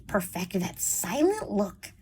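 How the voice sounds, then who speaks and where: A woman chats to her audience in a voice that sounds childish and young. Her pitch swings up and down a lot as she rambles. She speaks frankly and uses plenty of fillers. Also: big pitch swings, takes it slowly, darkish tone, husky. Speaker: vlogger. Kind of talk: casual chat to camera.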